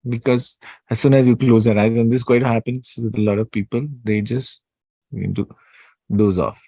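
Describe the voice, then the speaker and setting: A man giving an unscripted spiritual talk, slow and soothing, pausing often with fillers and restarts. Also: medium pitch, steady tone, gravelly, darkish tone, no room sound. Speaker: spiritual teacher.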